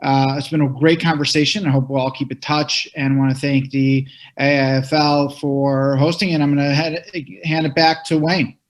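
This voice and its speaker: A man moderating a webinar panel over a video call, asking questions haltingly with many fillers. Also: mid-range voice, flat delivery.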